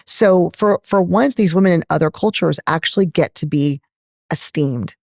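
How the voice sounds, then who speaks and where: A middle-aged woman with a Texas accent, a gynecologist answering interview questions in an animated, candid, emphatic way. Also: lowish voice, moderate pace, vocal fry, no echo, clean studio sound. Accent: Texan. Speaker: gynecologist guest.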